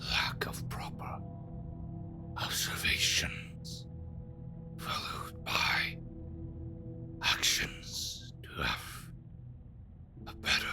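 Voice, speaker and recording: A very deep, heavily creaking voice playing a bitter, courtly schemer in an audio drama. The delivery is extremely slow and theatrical, full of lament and formal old-fashioned phrasing. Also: full character voice. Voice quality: gravelly, whispery. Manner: theatrical, breathy half-whispers, sighing, archaic formal wording. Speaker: voice actor in an audio roleplay. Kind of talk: dramatic scripted monologue.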